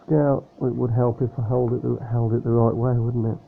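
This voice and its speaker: Soft-spoken man on a tinny line. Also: medium pitch, level tone, a little fast, vocal fry. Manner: speaking softly, hesitant with many fillers. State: slightly nasal and sniffly from a cold. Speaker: model aircraft unboxing vlogger. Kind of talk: casual unboxing chat. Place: room at home with a fan running. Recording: tinny phone-like sound with faint fan hum.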